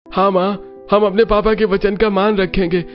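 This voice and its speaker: Man voicing an excitable, high-pitched young son. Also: moderate pace, muffled recording, faint music behind, natural voice. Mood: pleading, earnest. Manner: exclaiming, dramatic. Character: excitable young son, indignant yet obedient. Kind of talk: dramatized family story voice acting.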